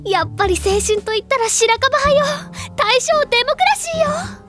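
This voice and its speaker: A woman playing a bubbly, stubborn high school girl who gushes and lectures theatrically about literature in an audio drama. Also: lively intonation, normal speed, a bit bright, faint music behind. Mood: passionate lecturing. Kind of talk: Japanese anime-style audio drama acting.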